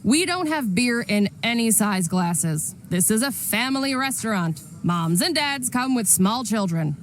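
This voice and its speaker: A woman's voice: on the high side, lively intonation, a little slow, faint music behind. A woman playing a blunt, unfriendly waitress in a video game, with a sarcastic way of talking. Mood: curt, scornful. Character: curt, unfriendly waitress at family restaurant. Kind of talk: naturalistic video game voice acting.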